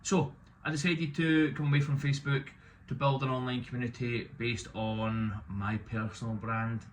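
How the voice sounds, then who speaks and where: A man in his thirties, loud and opinionated with a self-deprecating streak, talking casually to camera about his business. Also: medium pitch, steady tone, normal speed, slight background noise. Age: 30s. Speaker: business owner vlogging.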